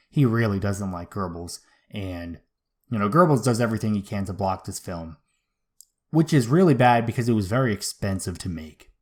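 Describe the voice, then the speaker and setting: Male history show host, medium pitch, informal and conversational storytelling with slangy asides. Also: darkish tone.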